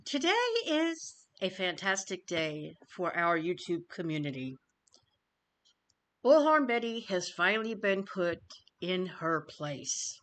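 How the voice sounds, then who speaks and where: A woman YouTube commentator giving an informal news update to viewers, phrasing things deliberately and emphatically with pauses. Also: medium pitch, a bit bright.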